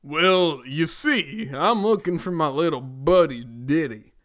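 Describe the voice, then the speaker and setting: A big, boastful talking ape played by a man in a comic story. He is easygoing and goofy, a bit high for a male voice, with a slightly dull recording. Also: expressive, takes it slowly, fairly bright tone. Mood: casual. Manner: goofy, proud, casual.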